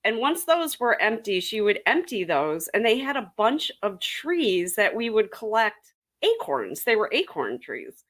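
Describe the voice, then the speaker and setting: A woman's voice: medium pitch, big pitch swings, measured pace. A woman urology nurse chatting on a panel, rambling with restarts and clinical jargon. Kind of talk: casual panel chat.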